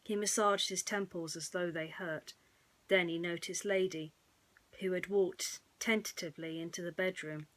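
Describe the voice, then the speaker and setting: A woman narrating a bedtime story in a soothing, hushed manner that often drops close to a whisper. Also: fairly low pitch, steady tone, normal speed.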